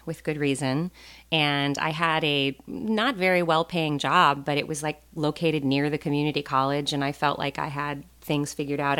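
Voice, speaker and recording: An American woman telling stories casually as a podcast guest, animated and joking, with plenty of "like" in her talk. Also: low voice, smooth. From born in Taiwan, raised in a US Navy family. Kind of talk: casual conversational storytelling.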